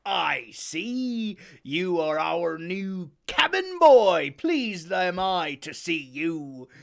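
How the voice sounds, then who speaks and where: A reader voicing a cunning, hearty one-legged old pirate innkeeper who talks loudly in sailor's slang. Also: medium pitch, big pitch swings, extremely slow, creaky, pitched above the performer's own voice, full character voice. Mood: blustering. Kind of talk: audiobook character voice.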